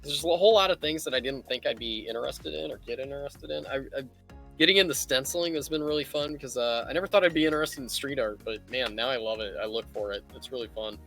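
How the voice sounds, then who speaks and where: A man with a bright, forward voice talks casually through a hands-on tutorial. He rambles and thinks aloud as he works, at a middling pitch, with music playing behind him. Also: fast talker, creaky. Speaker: livestream host teaching miniature painting. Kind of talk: casual live tutorial chat.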